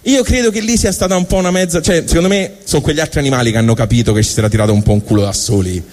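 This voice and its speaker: A man with a Tuscan accent delivering a colloquial, digressive comic monologue on stage, with halting asides. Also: fairly high pitch, a little mellow. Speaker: comic storyteller. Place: live stage show.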